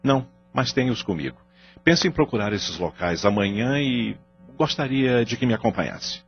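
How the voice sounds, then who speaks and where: A man's voice: medium pitch, expressive, normal speed, highs cut off, faint music behind, natural voice. An actor with a Brazilian accent playing a contrite, earnest husband and father in an audio drama. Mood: pleading, ashamed.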